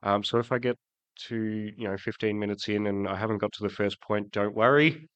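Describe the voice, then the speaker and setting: Croaky man preaching a sermon. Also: on the low side, fairly even intonation, fairly quick, fairly bright tone, no echo, muffled recording. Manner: hesitant, many ums and restarts. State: croaky from a cold. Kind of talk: sermon with prayer. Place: church.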